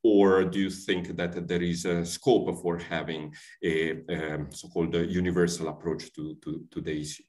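A middle-aged man moderating a panel on a video call, speaking haltingly with many filler sounds, somewhat distant from the mic. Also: sits low, level tone, a little mellow. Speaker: session moderator. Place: room at home with windows.

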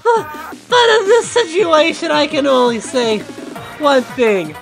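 A woman's voice: high-pitched, sing-song, takes it slowly, vocal fry, soft background music, exaggerated voice, rough recording. A woman voicing an indignant young male hedgehog hero, declaiming earnestly in an amateur comic dub. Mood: pleading. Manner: declamatory, earnest speech-making.

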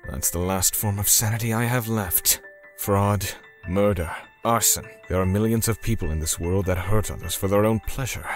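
Low, grim man acting as a vengeful torturer. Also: moderate pace, natural voice. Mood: grim resolve. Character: grim, self-righteous male torturer seeking vengeance for victims. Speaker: narrator. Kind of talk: dramatic manga dub narration. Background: music.

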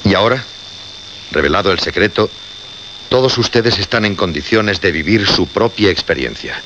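An actor with a Castilian Spanish accent playing an obsessed, unnerved radio presenter in a suspense drama, solemn and insistent. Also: male voice, sits low, expressive, normal speed, sharp tone. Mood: ominous. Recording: muffled, noisy radio drama recording with hum.

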